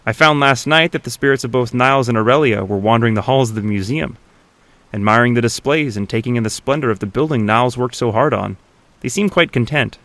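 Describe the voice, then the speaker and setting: A courteous, reassuring priest in a fantasy game. He speaks in a measured, genial and formal manner at a medium pitch. The sound is close and dry. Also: a bit bright, dry recording. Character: reassuring, courteous male priest tending the dead.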